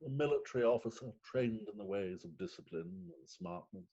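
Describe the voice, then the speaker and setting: A man acts out a flustered middle-aged magistrate in a stage farce. He is pompous and self-pitying, with halting, theatrical delivery. The sound is a little dull and lo-fi. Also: fairly low pitch, expressive, dark tone, husky. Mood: pompous, mournful. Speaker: actor. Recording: dull remote call audio.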